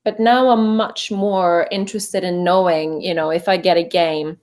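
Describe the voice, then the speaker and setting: Norwegian-accented woman, low and chatty. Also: steady tone, moderate pace, darkish tone. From Norway. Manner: chatty, with many fillers and restarts. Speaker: voice actor guest. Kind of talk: casual interview chat. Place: home voice booth.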